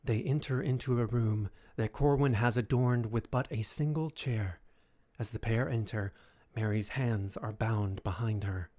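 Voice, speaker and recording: A man narrating a scripted audio drama in a measured, formal manner. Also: on the low side, dark tone.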